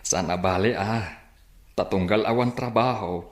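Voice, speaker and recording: A man acting in a radio drama as a gentle, patient older father, giving measured advice. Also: lowish voice, echoey room, close to an everyday voice. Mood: tender advising.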